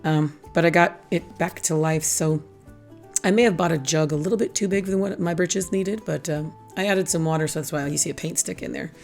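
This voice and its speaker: A middle-aged woman with a low, raspy voice, hoarse from a lingering illness, talks through a craft tutorial. She is chatty and pokes fun at herself as she goes. Soft music plays faintly behind her. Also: fairly quick. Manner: chatty, self-teasing, laughs at her own jokes. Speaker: craft channel host. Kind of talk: casual craft tutorial voice-over.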